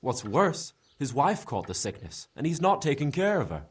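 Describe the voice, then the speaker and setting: An actor playing a plainspoken, forthcoming medieval villager in a video game, with a husky voice. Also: male voice, mid-range voice, sing-song, normal speed. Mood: concerned.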